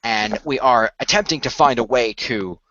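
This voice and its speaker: Measured man explaining, dull dry recording. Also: mid-range voice, pushed and bright, no room sound. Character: earnest, measured company insider revealing a secret magical order. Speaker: game master playing a character. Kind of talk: improvised in-character exposition for a role-play story.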